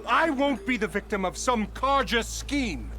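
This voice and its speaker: An actor playing a pompous, scheming mine boss in a video game cutscene, blustering and sneering. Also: male voice, very high voice, animated, measured pace, pushed and bright, faint music behind, exaggerated voice. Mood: evasive.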